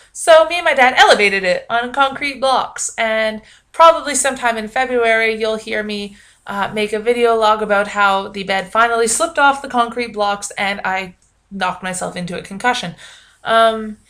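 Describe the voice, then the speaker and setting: A young adult woman talking casually to camera, rambling and self-mocking with many fillers, with a naturally loud, projecting voice. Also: medium pitch. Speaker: vlogger. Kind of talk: casual vlog chat.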